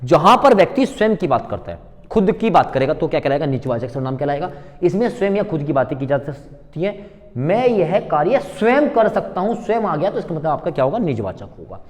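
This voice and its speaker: A male teacher with a slightly high voice lectures in a room with long reverb. He speaks informally to his students, repeating key points and asking questions that he then answers himself. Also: sing-song, a little fast. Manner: informal, familiar address to students. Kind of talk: classroom grammar lecture with worked examples.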